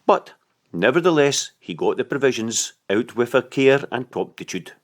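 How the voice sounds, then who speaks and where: A man reading a literary story aloud as an audiobook narrator, in an expressive storytelling style. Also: mid-range voice, moderate pace.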